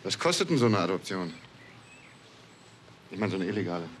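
A brash crude police detective. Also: male voice, low voice, sing-song, fairly quick, a little hiss or hum. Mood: questioning. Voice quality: blunt and coarse. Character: brash rough-mouthed male police detective. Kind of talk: 1980s TV crime drama.

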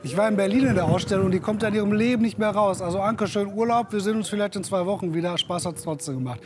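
A man's voice: high voice, racing, background noise. A man presenting a TV magazine from the studio in an upbeat, teasing manner.

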